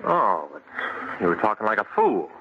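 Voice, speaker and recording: An actor playing a sensible, exasperated friend in an old radio horror drama, talking bluntly and scoffingly. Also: male voice, fairly low pitch, very animated, normal speed. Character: sensible, exasperated male friend and future brother-in-law. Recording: dull, noisy vintage radio recording.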